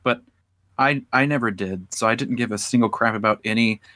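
A man co-hosting a podcast, chatting casually about wrestling and rambling quickly when he gets going. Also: medium pitch, sharp tone, dry recording, studio quality. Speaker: podcast co-host.

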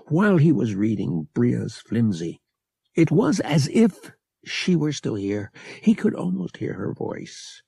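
Guy reading a novel, dramatic, expressive, kinda dark husky gravelly voice. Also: medium pitch, takes it slowly, no room sound. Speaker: audiobook narrator. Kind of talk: dramatic scripted fiction narration.

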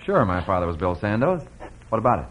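An actor playing a blunt young rancher with curt cowboy talk in an old-time radio Western. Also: male voice, fairly low pitch, very animated, quick pace, muffled recording. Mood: wary. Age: young adult. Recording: noisy old radio recording.

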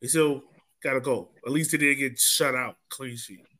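A man's voice: mid-range voice, fairly even intonation, normal speed, pushed and bright, slight background noise. A man hosting a sports podcast, talking casually through soccer match analysis in a conversational way.

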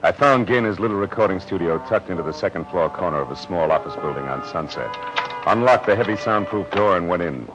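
Low-voiced hard-boiled private eye. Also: male voice, lively intonation, fast. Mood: cool narration. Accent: American. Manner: laconic, wry, matter-of-fact. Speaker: narrator and lead detective. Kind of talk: 1940s radio detective drama acting. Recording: old lo-fi radio recording, loud music.

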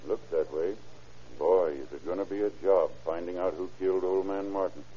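Casting: folksy, plain-spoken lawman, deep register, old radio crime drama, dull lo-fi sound. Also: moderate pace, warm tone, husky. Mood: grim, certain. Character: plain-spoken, methodical country sheriff's deputy. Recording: muffled old radio show recording.

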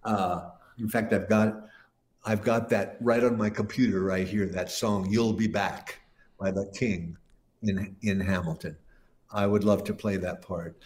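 A man's voice: fairly low pitch, steady tone, a little slow, a little mellow, slight background noise. An older man, a guest musician, telling long, rambling stories in an interview and often restarting his sentences. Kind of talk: casual interview storytelling. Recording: roomy echo.

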